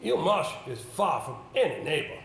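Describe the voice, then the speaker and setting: Casting: man as formal, doubtful gentleman solicitor for amateur stage drama, higher-pitched and animated, halting delivery. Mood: avuncular, brisk. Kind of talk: amateur stage play acting. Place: indoor theatre stage. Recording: echoey stage recording with background hiss.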